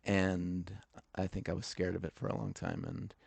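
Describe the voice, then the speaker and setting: A man's voice: low voice, very animated, moderate pace, gravelly, a little mellow, no echo. A man hosting a music podcast, talking casually with a noticeable stutter. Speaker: podcast host.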